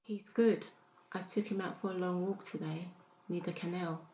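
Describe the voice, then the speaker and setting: A woman acts an anxious, gentle elderly mother in an audiobook drama. Her voice is slightly low, and the recording is muffled and roomy with faint noise. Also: steady tone, moderate pace, natural voice. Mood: anxious, tender. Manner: timid, gentle. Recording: muffled, echoey, noisy room recording.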